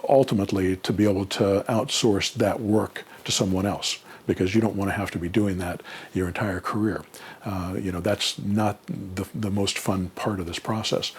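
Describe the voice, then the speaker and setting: A man's voice: on the low side, darkish tone, slight background noise. A man giving casual, unscripted advice as a mentor answering questions, in a plainspoken, conversational way.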